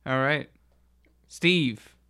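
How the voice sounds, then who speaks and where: A man hosting a podcast with casual, deliberate, playful banter. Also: mid-range voice, pushed and bright, smooth, dry recording, clean studio sound. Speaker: podcast host.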